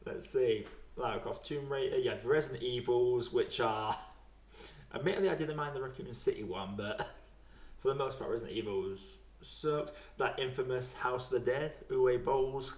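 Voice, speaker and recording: Man, twenties, medium-pitched voice, casual podcast chat, chuckly and informal, roomy echo. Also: normal speed. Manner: chuckles often while talking, informal swearing. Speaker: podcast host and film reviewer.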